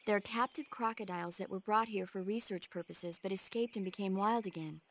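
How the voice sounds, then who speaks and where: An actress playing an earnest, knowledgeable support advisor in a video game, explaining things informatively. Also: female voice, medium pitch, a little fast, lightly acted. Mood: informative, cautioning. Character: knowledgeable female radio support advisor, earnest, easily exasperated.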